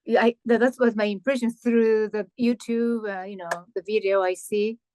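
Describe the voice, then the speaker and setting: A woman hosting an interview, chatty and warm-paced, filling pauses with frequent yeahs and simple phrasing. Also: mid-range voice, steady tone, slight background noise. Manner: chatty, frequent yeah fillers, non-native phrasing. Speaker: interviewer host. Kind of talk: casual interview chat.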